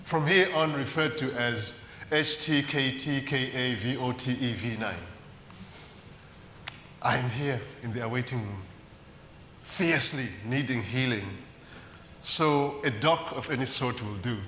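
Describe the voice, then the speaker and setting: A man performing spoken poetry in a deliberate, rhythmic way with dramatic emphasis, recorded in a hall. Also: medium pitch, sharp tone. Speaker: poet. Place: large reverberant hall at a live event. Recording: echoey hall, faint background noise.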